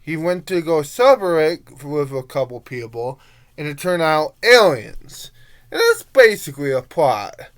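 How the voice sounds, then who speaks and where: A young adult giving a casual review monologue in a rambling, informal way, halting and letting thoughts trail off. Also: medium pitch, very animated. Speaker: amateur reviewer.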